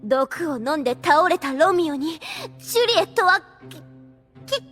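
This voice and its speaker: A woman's voice: very high-pitched, lively intonation, normal speed, fairly bright tone, faint music behind. A woman playing a haughty, jealous vampire girl in an anime audio drama, with quaint courtesan turns of phrase and a theatrical, accusing manner. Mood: dramatic, flustered.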